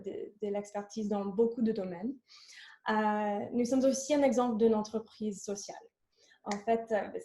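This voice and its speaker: Hesitant woman, mellow voice. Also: mid-range voice. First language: not French. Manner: hesitant, many fillers and restarts; slips into English words. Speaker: program lead at a coworking organization. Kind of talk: online panel presentation.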